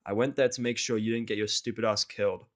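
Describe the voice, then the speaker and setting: A man voicing a sullen, sarcastic, foul-mouthed human outcast in an audio drama, with a gruff, dry, grudging manner. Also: on the low side, fairly even intonation, fast, close to an everyday voice. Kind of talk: audio drama of fan fiction.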